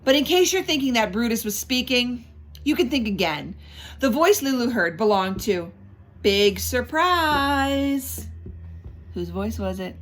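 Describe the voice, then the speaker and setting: A woman reading a children's book aloud in an animated storytelling style, pausing like a teacher to prompt listeners with questions and stressing key words. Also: on the high side, soft background music. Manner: instructive, prompting, emphatic repetition of key words.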